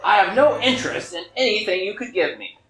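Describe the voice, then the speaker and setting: A man's voice: sits high, expressive, a little fast, a bit bright, voice pushed up. A man playing a haughty older monopolist in a table reading, condescending, dismissive and easily outraged. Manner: pompous, dismissive, exclaiming. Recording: echoey room with music behind.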